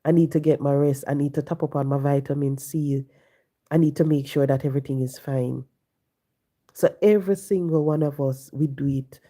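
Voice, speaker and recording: Lady on a live stream, hoarse and stuffy from the flu, low mellow voice, preachy and repeats herself. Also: fairly even intonation, moderate pace. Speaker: livestream host. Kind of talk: casual live-stream talk reading viewer comments. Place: home, sitting.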